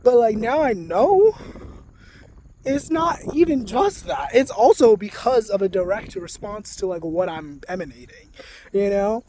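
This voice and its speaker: Young man, soft-spoken, high voice, mellow tone, rambling casual vlog talk. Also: expressive, a little slow, slight background noise, rough recording. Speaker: spiritual vlogger. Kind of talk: casual monologue to camera.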